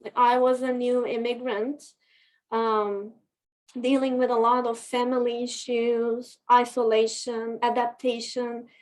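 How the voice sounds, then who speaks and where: A woman with a somewhat high voice talks through her story in an interview. She speaks thoughtfully, pausing and restarting often with small fillers. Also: little pitch movement. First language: Turkish. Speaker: guest, scientist training as psychotherapist. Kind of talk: reflective interview talk.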